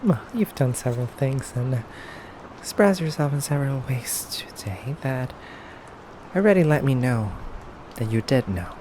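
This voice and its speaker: A man playing a menacing, teasing male vampire captor in an ASMR roleplay, speaking softly and hesitantly. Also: mid-range voice, animated, lightly acted. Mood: knowing, composed. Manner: slow, hesitant, teasing, quietly threatening. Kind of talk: ASMR roleplay addressed to the listener. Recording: clearly noisy background ambience.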